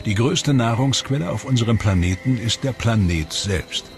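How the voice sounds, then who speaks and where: A man narrating a documentary from a script in a measured way, slowing down and dropping his voice on the ominous lines. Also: mid-range voice, expressive. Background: documentary music score.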